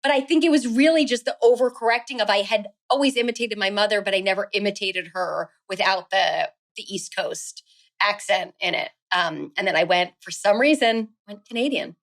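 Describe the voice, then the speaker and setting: A woman from New Jersey, a guest actress, chatting in an animated way, telling stories about her past. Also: medium pitch, normal speed. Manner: animated storyteller, bright and chatty. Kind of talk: casual interview chat.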